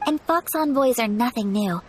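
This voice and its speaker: A woman voicing a graceful young noblewoman in a video game, speaking formally, gently and in a measured way. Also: fairly high pitch, expressive, natural voice. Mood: thoughtful. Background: game music.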